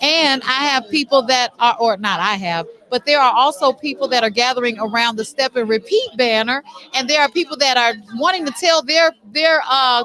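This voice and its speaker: A woman's voice: high-pitched, fairly bright tone, poor audio. A woman hosting an on-location interview show, talking in an effusive, encouraging way.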